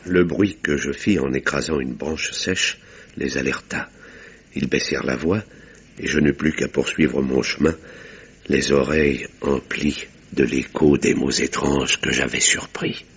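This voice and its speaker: A reserved, weary country doctor in a radio mystery. His voice is very low and slightly husky, his delivery measured and formal. The sound is dull, like a vintage broadcast. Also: fairly even intonation, fairly bright tone, full character voice. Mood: grave, measured. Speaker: narrator and lead actor. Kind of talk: French radio mystery drama acting and narration.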